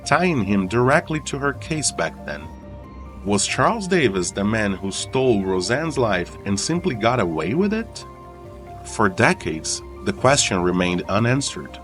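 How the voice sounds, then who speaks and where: A man with a fairly low voice narrates a true crime documentary. His delivery is solemn and suspenseful, moving up and down expressively and leaning on deliberate pauses. Soft music plays behind him. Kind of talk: scripted dramatic documentary narration. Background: background music.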